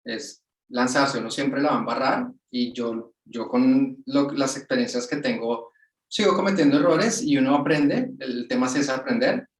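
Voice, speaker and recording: A man, a guest on a webinar, talking in a relaxed, colloquial way over a video call. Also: medium pitch, moderate pace. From Colombia. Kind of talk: casual webinar interview. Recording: roomy sound from off the mic.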